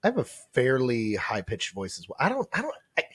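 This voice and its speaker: A man co-hosting a casual comedy podcast, telling stories in an animated, jokey way. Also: mid-range voice, brisk, slightly rough. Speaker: podcast co-host.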